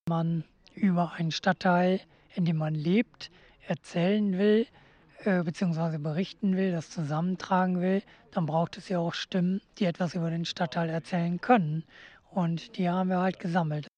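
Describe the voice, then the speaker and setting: Man explaining haltingly, slightly dull recording. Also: high-pitched, steady tone, a little mellow. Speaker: interviewee. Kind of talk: explanatory interview talk.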